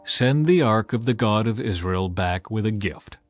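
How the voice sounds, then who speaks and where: A man voicing a grave pagan priest in a scripture audiobook, giving measured instructions. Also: fairly low pitch, animated, soft background music. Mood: grave, instructive.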